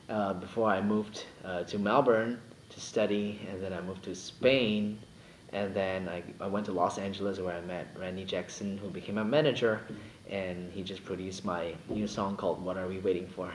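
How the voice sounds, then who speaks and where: A man's voice: deep voice, fairly even intonation, moderate pace, slight background noise. Playful young man from Singapore. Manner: playful, jokey, rambling with many fillers. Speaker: singer, interview guest. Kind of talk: casual interview chat. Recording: roomy echo, faint ambience.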